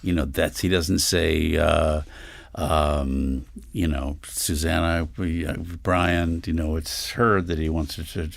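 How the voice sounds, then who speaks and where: Really deep guy voice, casual panel chat, lots of pauses. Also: steady tone, vocal fry, no room sound. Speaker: panelist discussing a play. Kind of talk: casual discussion.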